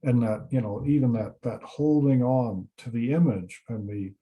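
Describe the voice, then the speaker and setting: A man's voice: mid-range voice, moderate pace, dull audio. A man moderating a casual online reading-group discussion, talking loosely with many fillers and inviting others to speak over a video call.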